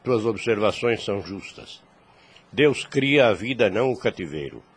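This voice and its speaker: A man's voice: lowish voice, lively intonation, normal speed, breathy edge. An actor playing a wise, fatherly priest in a radio drama, solemn and preachy, in formal archaic phrasing. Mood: earnest, consoling.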